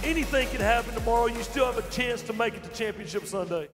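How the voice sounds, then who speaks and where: A man emceeing a weigh-in on an arena stage, voice pitched very high above blaring music. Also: fast talker. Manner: projected, showman delivery. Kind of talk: stage announcing. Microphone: handheld microphone. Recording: loud music over stage PA.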